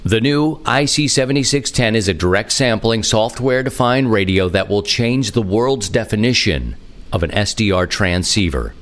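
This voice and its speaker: Low-pitched man hosting a podcast. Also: animated, moderate pace, a bit bright. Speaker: podcast host. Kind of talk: reflective podcast monologue and sponsor read.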